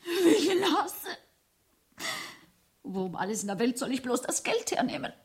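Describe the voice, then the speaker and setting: An actress in a German radio drama playing an anxious, harassed young bride-to-be in a theatrical manner. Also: female voice, high voice, big pitch swings, moderate pace, slight background noise. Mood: distressed, indignant.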